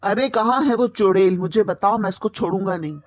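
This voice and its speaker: A woman's voice: medium pitch, a little fast, lightly acted. A woman voicing an indignant, blustering male bird husband in a cartoon. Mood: angry.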